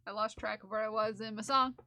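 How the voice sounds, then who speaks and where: A woman's voice: medium pitch, steady tone, fast, very noisy. Giggly, rambling young woman streamer. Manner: rambling, giggly, slips into accents and sings. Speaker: livestreamer knitting for charity. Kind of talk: casual live-stream chat.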